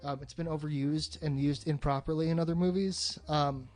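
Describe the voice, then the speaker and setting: A man's voice: on the high side, fairly even intonation, normal speed, a little mellow, faint music behind. A man hosting a podcast in a casual, rambling movie discussion, swearing freely and sounding enthusiastic. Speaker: podcast host.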